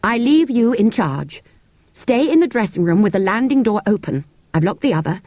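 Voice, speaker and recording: A woman's voice: a little high, sing-song, moderate pace, darkish tone, no room sound, clean studio sound, voice pushed up. A woman voicing a firm but loving mother in a storybook, giving orders with emphatic authority. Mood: firm, urgent. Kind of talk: storybook character voice.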